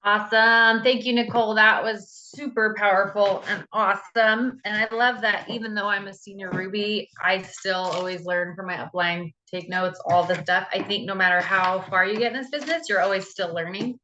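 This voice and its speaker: A woman's voice: mid-range voice, steady tone, normal speed, few pauses, bright, forceful tone, highs cut off, a little hiss or hum. A woman team leader giving an earnest, encouraging talk to her team on a call, with frequent fillers. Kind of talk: motivational talk on a video call.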